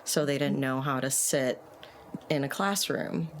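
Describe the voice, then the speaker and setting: Middle-aged woman from Puerto Rico, very low voice, soft-spoken guest with faint hiss. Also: measured pace. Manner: soft-spoken, many fillers. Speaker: guest psychologist. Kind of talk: casual interview.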